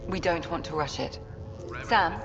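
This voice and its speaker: An actress playing a composed female doctor in a sci-fi game, measured, professional and reassuring. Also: on the low side, close to an everyday voice. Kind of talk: sci-fi video game acting. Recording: muffled, reverberant, noisy ambience.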